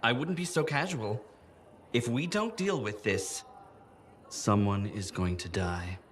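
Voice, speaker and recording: Fussy, low-voiced safety campaigner. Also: male voice, slow pace, slight background noise. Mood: grave. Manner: grave, deadpan, meticulous. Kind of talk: video game dialogue acting.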